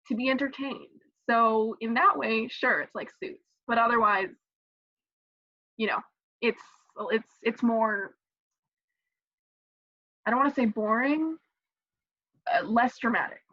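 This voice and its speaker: A young woman in college talking casually about mock trial on a video call, animated and playful. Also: on the high side, takes it slowly, long pauses, dry recording. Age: young adult. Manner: animated, acts out quotes in funny voices. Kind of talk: casual Zoom chat. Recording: muffled video call audio.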